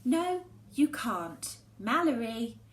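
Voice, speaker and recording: A woman's voice: a little high, sing-song, slow, pitched above the performer's own voice. A woman voicing an upset, guarded schoolgirl in a storybook, pleading and exasperated. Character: upset, guarded schoolgirl, usually bubbly and sensible. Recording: echoey room, faint background noise.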